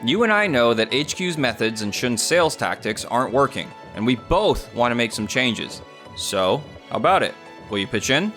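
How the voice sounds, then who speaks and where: A man's voice: mid-range voice, very animated, normal speed, fairly bright tone, faint music behind. A man voicing an earnest, conciliatory young office worker in an English manga dub, sincere and persuasive.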